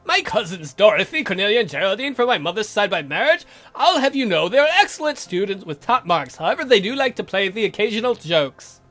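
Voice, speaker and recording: Guy doing a grumpy old vampire count in a horror visual novel, dry n sweary, lively pitch swings. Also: brisk, soft background music, heavily acted. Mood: indignant, defensive.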